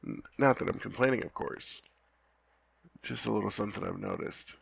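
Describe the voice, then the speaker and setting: A young man playing a lovesick, flustered knight in an ASMR roleplay, talking softly and haltingly with frequent stammers. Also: on the low side, vocal fry, husky, dry recording, exaggerated voice. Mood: tender, teasing. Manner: halting, stammering, soft-spoken.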